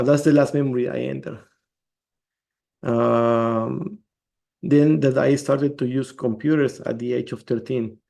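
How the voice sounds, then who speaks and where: Guy from el salvador walking thru a code demo, kinda gravelly low-key voice. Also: a little mellow. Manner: explains step by step, restarts words. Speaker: developer presenting a demo. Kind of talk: screen-share tech demo.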